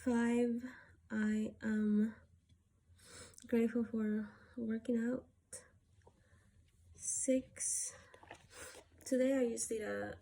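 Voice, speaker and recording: A woman from Peru talking through a personal gratitude list, softly and hesitantly, with many pauses and fillers. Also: on the high side, gravelly. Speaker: vlogger.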